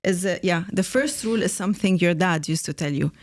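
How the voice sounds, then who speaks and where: Woman podcast host, lowish pitch, hesitant questions full of "um" and "uh". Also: a little fast. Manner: hesitant, many "uh" and "um" fillers. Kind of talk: interviewing.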